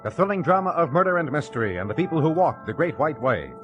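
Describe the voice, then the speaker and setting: Dramatic old radio announcer over loud music. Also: male voice, fairly high pitch, sing-song, quick pace, lo-fi sound. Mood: grand. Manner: emphatic, theatrical. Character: dramatic male radio crime show announcer.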